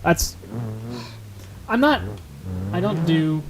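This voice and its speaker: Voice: mid-range voice, extremely slow, loud music behind the voice. A streamer chatting casually while playing a driving game, rambling and self-deprecating, laughing often. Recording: steady game noise behind. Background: car game sounds.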